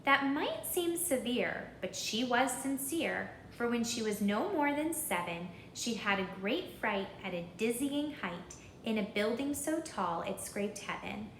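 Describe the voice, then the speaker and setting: A woman with a fairly high, very animated voice reads a children's storybook aloud. She takes her time, deliberate and rhythmic, as if guiding young listeners. The recording is roomy and echoing. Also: slight background noise. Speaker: storybook reader.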